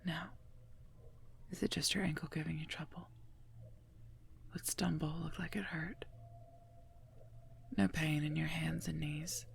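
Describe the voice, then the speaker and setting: A stern but protective werewolf knight played by a woman whispers in a breathy, heavily creaky voice. The delivery is formal and earnest with little melodic movement, gently scolding as in an intimate ASMR roleplay. Faint background ambience sits behind the close-up voice. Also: low-pitched, steady tone, a little slow, pauses often, a little hiss or hum, exaggerated voice. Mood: gentle concern.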